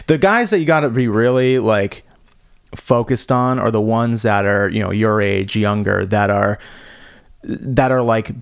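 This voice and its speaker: A man in his early thirties, originally from Rhode Island, hosting a loose conversation. He keeps things chummy and easygoing, chiming in with quick agreement. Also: fairly low pitch, creaky, clear, resonant tone. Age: 30s. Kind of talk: casual conversation.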